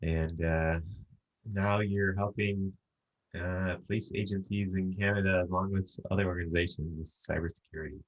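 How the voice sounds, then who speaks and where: Low-voiced male podcast host, casual. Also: steady tone, normal speed, muffled recording. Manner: casual, filler-heavy questions. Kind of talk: interview hosting.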